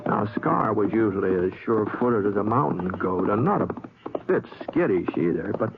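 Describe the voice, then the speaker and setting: An actor playing an easygoing cowboy drifter with an American accent, in the folksy, hesitant style of old radio drama. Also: male voice, lowish voice, expressive, moderate pace. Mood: musing, unhurried. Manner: folksy, hesitant, plain-spoken drawl. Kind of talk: 1950s radio drama acting and first-person narration. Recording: scratchy, tinny, noisy old radio recording.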